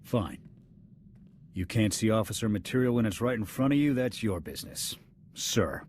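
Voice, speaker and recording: A man's voice: fairly low pitch, expressive, normal speed, a little mellow, a little hiss or hum, close to an everyday voice. A man playing a proud, resentful soldier in a video game, speaking in a bitter, accusing way. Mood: bitter, indignant. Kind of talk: scripted video game dialogue.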